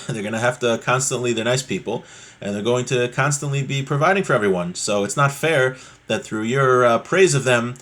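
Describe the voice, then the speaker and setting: A man's voice: mid-range voice, fairly bright tone. Man teaching an informal religious lesson. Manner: conversational, explanatory, with rhetorical questions. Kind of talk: informal religious text lesson, reading and explaining.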